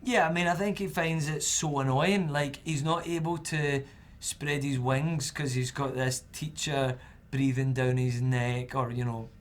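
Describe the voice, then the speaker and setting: A young man, chatting casually as an actor at a roundtable interview, relaxed and full of fillers. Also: medium pitch, unhurried, hardly any pauses, slight background noise. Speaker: actor guest.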